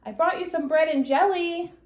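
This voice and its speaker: A woman acts a little storybook cowgirl, polite and plucky. Her voice is high and earnest, heard in an echoey room. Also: moderate pace, highs cut off. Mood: uneasy.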